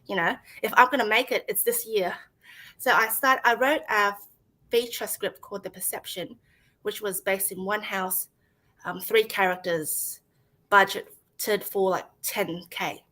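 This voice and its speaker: A woman's voice: mid-range voice, pushed and bright, poor audio. A woman in her thirties, a screenwriter guest on a video call, chatting casually, laughing often and acting out what people said. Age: 30s. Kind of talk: casual interview chat.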